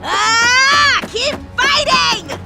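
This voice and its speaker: A woman's voice: very high-pitched, animated, extremely slow, vocal fry, raised voice, loud music behind the voice, heavily acted. A woman playing a bossy, wry, battle-worn archer in a dramatized tabletop fantasy adventure. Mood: pained, urgent.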